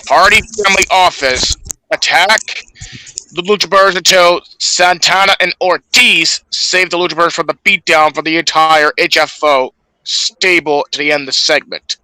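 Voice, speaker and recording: Male podcast co-host, forceful bright tone, higher-set voice, halting recap delivery with stumbles. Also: smooth, no room sound, poor audio. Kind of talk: show recap from notes.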